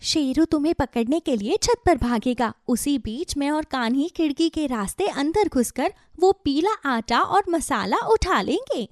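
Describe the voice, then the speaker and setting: A woman's voice: high voice, animated, measured pace, hardly any pauses, no room sound, clean studio sound. A woman voicing a caring, determined mother bird in a children's cartoon, with an urgent manner. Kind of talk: Hindi children's cartoon story dialogue.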